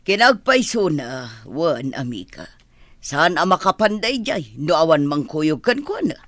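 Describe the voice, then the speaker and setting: Guy doing a worried middle-aged mom in radio drama, high swoopy voice, dry sound. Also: sing-song, a little slow, fairly bright tone, dry recording. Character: fretful middle-aged mother, protective of her grown son. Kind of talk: Ilocano radio comedy drama acting.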